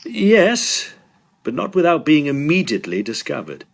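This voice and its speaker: A man's voice: fairly high pitch, sing-song, moderate pace, gravelly, exaggerated voice. A man playing a serious, sceptical young Victorian medical student in an audio drama, terse and guarded. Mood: doubtful, firm.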